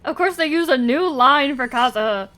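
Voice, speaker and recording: A woman's voice: sits high, lively intonation, moderate pace, sharp tone. A woman doing casual livestream commentary on a game, with sarcastic asides and swearing, sometimes reading dialogue aloud. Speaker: game streamer. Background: video game music.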